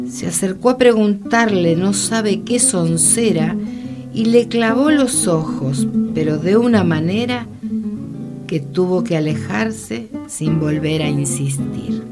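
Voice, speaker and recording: A woman giving an expressive poetry recitation. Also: on the low side, takes it slowly. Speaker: poetry reader. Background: loud plucked guitar music.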